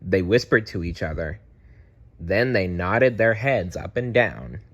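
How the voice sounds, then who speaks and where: A man narrating a children's storybook aloud in an expressive, deliberate way. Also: sits low, husky, muffled recording.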